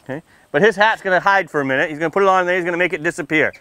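High-pitched, clear-voiced man talking to kids. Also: very fast, pushed and bright, no room sound. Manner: asks questions, checks in often with "okay" and "right"; playful teasing. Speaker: firefighter presenting to children. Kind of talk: simple interactive safety talk for kids. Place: outdoors under a tent canopy beside a building, small seated audience.

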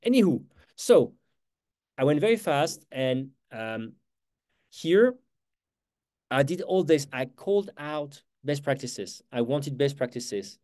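Host: man, 40s, French accent, talks fast and loose, restarts sentences, laptop mic. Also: on the high side, very animated, darkish tone. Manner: fast, chatty, self-correcting, with quick laughs. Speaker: workshop host. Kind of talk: live online workshop with screen share.

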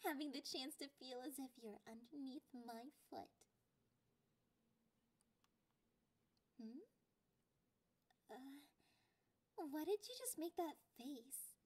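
A woman voicing a clingy, possessive catgirl girlfriend in an ASMR roleplay, with a playful, condescending and drawn-out delivery. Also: sits high, expressive, slightly rough, exaggerated voice. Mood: mocking.

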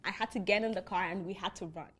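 A woman's voice: medium pitch, fast talker, fairly bright tone, slightly rough. Emphatic young woman. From Nigeria. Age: 20s. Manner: emphatic, repeats phrases for effect. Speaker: university student interviewee. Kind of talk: earnest documentary interview. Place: indoors by a large window.

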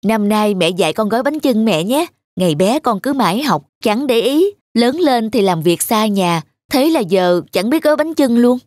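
A man's voice: high-pitched, lively intonation, normal speed, few pauses, a little mellow, dry recording, studio quality. A man playing an affectionate father and devoted son in a cartoon, talking in an earnest, reflective way. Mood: fond. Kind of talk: animated cartoon dialogue and storytelling.

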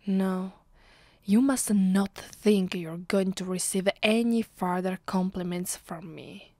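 Woman acting a sadistic female vampire, Italian accent, ASMR roleplay, unhurried, commanding, breathy half-whisper, close and dry. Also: medium pitch, fairly even intonation, no echo. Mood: savoring, imperious. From Italy. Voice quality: soft, breathy, often dropping to a whisper. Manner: slow, deliberate, commanding. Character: haughty, sadistic female vampire mistress savoring her power. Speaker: voice actress. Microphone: close microphone.

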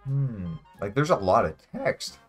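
Deep-voiced young man thinking aloud over music. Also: big pitch swings, measured pace. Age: young adult. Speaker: guest player. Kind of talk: casual chat while playing a game. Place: indoor room, sharing a webcam frame with a friend.

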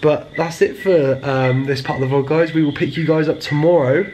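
A man's voice: a little high, steady tone, moderate pace, darkish tone, a little hiss or hum, rough recording. A man in his twenties chatting casually and informally to a handheld camera as a travel vlogger. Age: 20s. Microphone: camera at arm's length.

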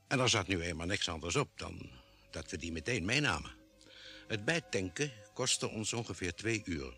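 A man's voice: lowish voice, pushed and bright. A man playing a composed, dutiful spaceship doctor in a vintage radio drama. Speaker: radio drama actor and narrator. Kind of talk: vintage Dutch radio drama acting, narration and dialogue.

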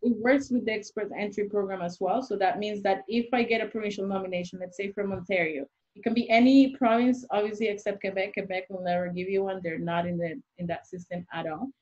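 A young woman speaks at a middle pitch over a dull, lo-fi video-call line. She is an immigration consultant, and she walks through the rules step by step with examples. She talks into a webcam in a small room. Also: fairly even intonation, brisk, few pauses, darkish tone. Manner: explains step by step with worked examples.

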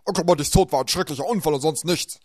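Guy doing a pushy fussy banker, loud and a bit higher, rattles it off super fast, dry close mic. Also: no echo, lightly acted. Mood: brusque. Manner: loud, brisk, talks over the children. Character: fussy coughing adult male banker, dismissive. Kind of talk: audiobook character voice.

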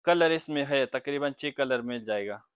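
A young man selling blankets in his small shop, eagerly pitching prices and agreeing with quick repeated yeses. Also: smooth, dry recording. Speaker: blanket shopkeeper. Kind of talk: sales pitch to a vlogger.

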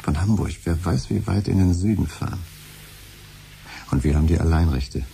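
Deep, mellow, scheming husband. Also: male voice, moderate pace, gravelly, a little hiss or hum. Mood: dreamy, excited. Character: scheming, hopeful husband dreaming of patent riches. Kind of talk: 1970s German radio drama acting. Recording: 1970s radio play, faint music.

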